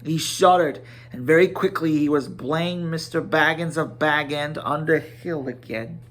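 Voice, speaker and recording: A man's voice: a little high, a little slow, a bit bright. A man reading a story aloud as a dramatic narrator, in scripted storybook style. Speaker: amateur audiobook narrator.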